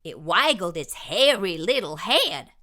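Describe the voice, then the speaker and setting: A middle-aged woman reading a children's picture book aloud with expressive storytelling. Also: fairly low pitch, a little slow. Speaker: children's book reader, channel host.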